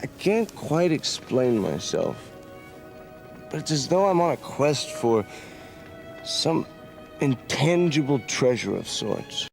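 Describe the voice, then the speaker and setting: A man's voice: medium pitch, sing-song, takes it slowly, gravelly, pauses often, full character voice. A man voicing a restless romantic young adventurer in a 1990s video game cutscene, talking in a reflective, wistful way, with faint music behind. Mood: yearning.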